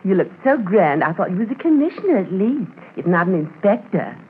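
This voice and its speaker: A woman's voice: medium pitch, very animated, fairly quick, natural voice. Actress doing a gabby folksy cabin lady, voice all up and down, tinny old radio. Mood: chatty, impressed. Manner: garrulous, colloquial. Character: chatty, folksy, flattering woman running tourist cabins. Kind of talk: 1950s radio acting. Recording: tinny, hissy 1950s radio transcription.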